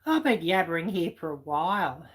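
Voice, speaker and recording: Croaky-voiced woman chatting on a livestream. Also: fairly low pitch, sing-song, normal speed. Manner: rambling with many fillers, chatty. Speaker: livestream host reading chat. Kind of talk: casual chat.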